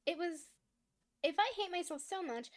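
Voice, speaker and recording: A woman voicing a crude, teasing troll chat partner in a blunt, mocking, unhurried way. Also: very high-pitched, animated, voice pushed up.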